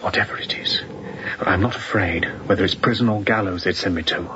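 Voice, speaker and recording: An actor playing a weary, defiant runaway seaman in hiding, in a radio drama, talking in a hushed, halting, intense way. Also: sits low. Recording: dull muffled audio with background noise.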